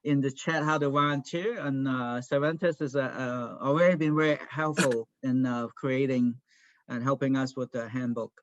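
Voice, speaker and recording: A man's voice: medium pitch, fairly even intonation, normal speed. Man in a muffled, echoey online meeting. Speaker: meeting host. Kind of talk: informal online meeting moderation. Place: online group video call. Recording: muffled, echoey video call audio.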